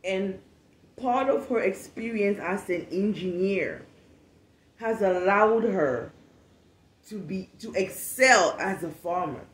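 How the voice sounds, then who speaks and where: Relaxed young woman from Cameroon, roomy sound. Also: medium pitch, takes it slowly, slight background noise. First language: not English. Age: 20s. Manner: relaxed, candid, swears freely. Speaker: podcast host. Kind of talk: casual monologue. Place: home room, seated at a table.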